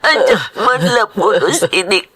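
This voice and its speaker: An actress with an Ilocano accent playing a grieving young woman, tearful and halting, in a melodrama. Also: medium pitch, very animated, bright, forceful tone, slightly rough, heavily acted. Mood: sobbing, pleading. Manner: tearful, slow, halting delivery. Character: grieving young woman Daniela pleading for forgiveness. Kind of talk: melodramatic Ilocano radio-style drama acting.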